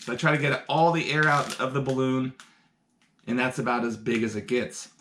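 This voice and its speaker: A man's voice: medium pitch, steady tone, moderate pace, echoey room, heavy background noise. A man teaching science through a live demonstration, upbeat and explaining each step for kids indoors. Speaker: science educator. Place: indoor room.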